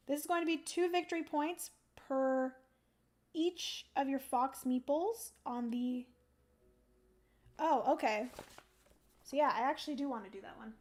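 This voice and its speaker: A young woman on a livestream, talking through her moves in a solo board game and chatting with viewers, her voice going a little hoarse. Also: sits high, darkish tone. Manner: chatty, thinks aloud, greets chat viewers. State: losing her voice. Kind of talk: casual livestream play-by-play.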